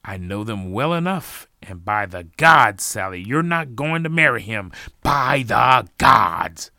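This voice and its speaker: Man playing a furious shouting parent, pitch raised. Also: wildly swooping pitch, takes it slowly, no room sound, studio quality. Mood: scornful, outraged. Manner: shouting, emphatic, stretched insults. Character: furious feuding parent, stubborn, scornful and threatening.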